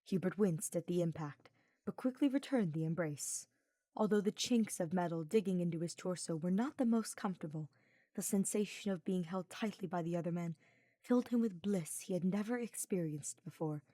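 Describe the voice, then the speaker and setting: A woman's voice: fairly low pitch, normal speed, dark tone, no room sound. A woman reading scripted fiction as the narrator of an audio story.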